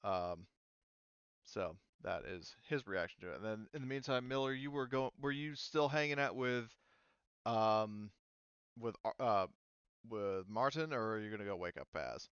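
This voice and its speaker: A man's voice: fairly low pitch, normal speed, a bit bright, smooth, no echo. Gm guy rambling through the scene, lots of likes and uhs, slightly dull dry audio. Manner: rambling, many fillers. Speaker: game master. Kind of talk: narrating a tabletop game.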